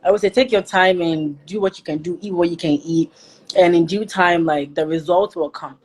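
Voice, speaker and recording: Low-voiced young-sounding Ghanaian-born woman, chatty. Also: normal speed, a little mellow, a little hiss or hum, poor audio. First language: Twi. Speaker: interview guest. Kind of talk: casual chat.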